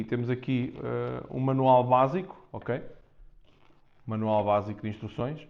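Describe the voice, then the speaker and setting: A man with a European Portuguese accent talks casually and unscripted to viewers as he presents a product, improvising loosely and repeating himself. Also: mid-range voice. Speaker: vlogger presenting a product unboxing. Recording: dull, muffled lo-fi sound.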